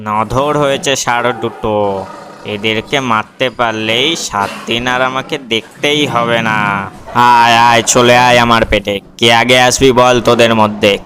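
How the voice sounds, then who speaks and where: A man's voice: mid-range voice, steady tone, normal speed, noisy background, natural voice. A man playing a hungry, vengeful tiger in a puppet story, threatening and gloating. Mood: hungry menace. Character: hungry vengeful male tiger, menacing predator. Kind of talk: puppet story character acting.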